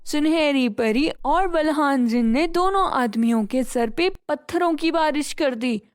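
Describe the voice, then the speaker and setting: A woman narrating a scripted children's bedtime story in a gentle, slow way, addressing the kids. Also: sits high, animated, dry recording, clean studio sound.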